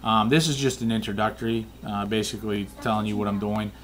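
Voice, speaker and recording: A man casually explaining night photography in a flat, monotone way, rambling with many fillers and restarts. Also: fairly low pitch, quick pace, a little hiss or hum. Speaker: photography vlogger.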